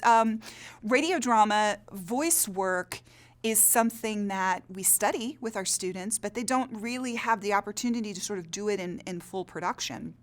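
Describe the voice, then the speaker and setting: A woman, a drama teacher and director, talking in an interview in an enthusiastic, emphatic way. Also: mid-range voice. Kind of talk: interview recollection.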